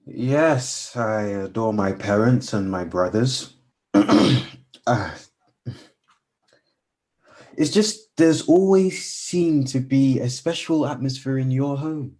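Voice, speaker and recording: A man's voice: medium pitch, animated, slow, darkish tone. An actor playing an earnest, lovestruck young man in a radio play, awkward but persistent. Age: young adult. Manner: earnest, hesitant.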